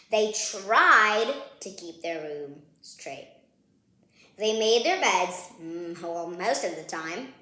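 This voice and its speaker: Slow-paced woman reading to kids, roomy echo. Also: medium pitch. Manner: playful, expressive, talks to young listeners. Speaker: read-aloud host. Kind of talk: animated children's picture book read-aloud with asides. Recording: roomy, echoing sound.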